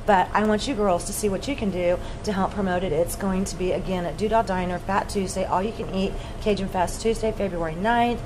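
A middle-aged woman hosting a casual group chat at a diner table, effusive and quick to laugh. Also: medium pitch, steady tone. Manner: bubbly, effusive, laughs often. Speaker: talk show host. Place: diner, seated at a long table with guests. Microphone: table microphones. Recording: noisy diner room.

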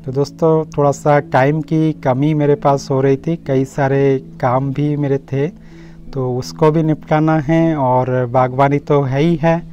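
A man's voice: medium pitch, little pitch movement, unhurried, warm tone. A man gives a casual, explanatory garden tour, addressing viewers in a friendly, direct way. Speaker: host of a home gardening channel. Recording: slightly muffled audio with music underneath. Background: instrumental music.

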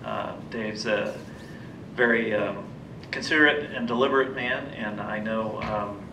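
Male official, deliberate and halting, lower voice, roomy. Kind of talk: formal meeting remarks. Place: indoor council meeting room. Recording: echoey room, distant mic, noisy rumble.

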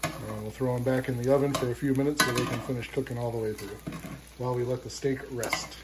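A man's voice: medium pitch, steady tone, fast, heavy background noise. A man talking casually while filming a home cooking video in his kitchen. He gives simple, encouraging step-by-step cooking tips. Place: home kitchen and dining table, indoors. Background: loud background music.

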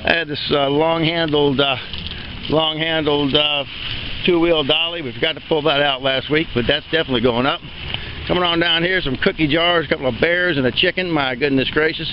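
A man's voice: fairly high pitch, steady tone, fairly quick, muffled recording, background noise. A man walking an outdoor auction preview, listing items in a rambling, folksy way with many fillers. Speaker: auctioneer giving a preview walkthrough. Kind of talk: unscripted walk-and-talk tour. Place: outdoors at an open-air sale yard.

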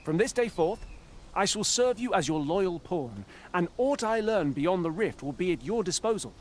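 A man's voice: high-pitched, sing-song, normal speed, slight background noise. A man playing a loyal, eager otherworldly servant companion in a fantasy game, formal and earnest. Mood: earnest, dutiful. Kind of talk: fantasy game voice acting with archaic speech.

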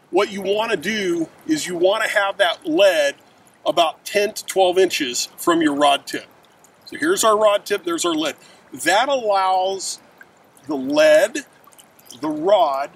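A man's voice: sits high, animated, a little slow, creaky, breathy edge. A middle-aged man giving an emphatic outdoor fishing lesson while standing by a river, addressing his viewers directly. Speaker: fishing instructor. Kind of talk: outdoor how-to demonstration. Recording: distant mic with faint river noise.

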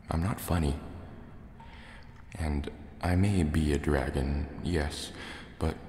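A man voicing a wary, brooding sea serpent dragon in a soft-spoken ASMR roleplay, speaking hesitantly in formal words. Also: a bass voice, heavily acted. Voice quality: gravelly, softly spoken. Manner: slow, hesitant, formal wording. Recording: cavernous echo, long reverb, faint water noise.

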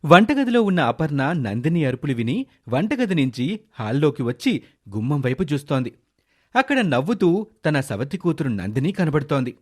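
Animated male storyteller, highish voice. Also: moderate pace. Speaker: narrator. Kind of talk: scripted moral story narration.

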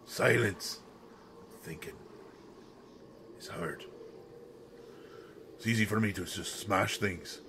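A man playing a brutish, slow-witted but protective monster in an audio roleplay, speaking in halting phrases that swing from hushed to loud. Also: low-pitched, slight background noise, full character voice. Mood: agitated, frustrated. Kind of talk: intimate dramatic audio roleplay monologue.

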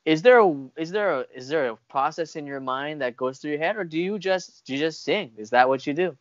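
A man's voice: on the high side, lively intonation, a little fast, no room sound. A young man hosting a casual interview, a little hesitant and apologetic as he talks. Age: young adult. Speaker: interviewer, host. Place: room at home, video call. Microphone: wired earphones on video call. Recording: dull, lo-fi video call audio.

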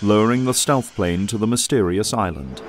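A man's voice: on the low side, animated, normal speed, background noise. A man reading scripted dramatic narration as the narrator of an audio drama. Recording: music bed under the voice. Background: music and effects.

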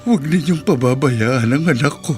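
A man's voice: mid-range voice, animated, normal speed, a little mellow, faint music behind, close to an everyday voice. Man playing a desperate, remorseful jailed father. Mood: broken, pleading. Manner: imploring, emotional. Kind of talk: Filipino radio drama acting.

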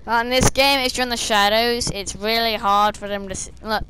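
A young woman, slightly hoarse and losing her voice, chatting and rambling as she plays a stealth game at her desk, talking to the enemies on screen. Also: on the high side, fairly even intonation, moderate pace, noisy background. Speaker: gameplay streamer. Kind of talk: casual gameplay commentary. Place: small room indoors, seated at a desk.

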